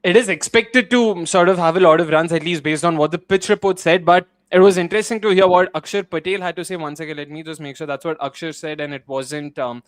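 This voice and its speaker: A man's voice: a little high, fairly even intonation, fairly quick, few pauses. A man in his late twenties chatting casually as a guest, self-mocking and often repeating his words. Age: 20s. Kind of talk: casual chat.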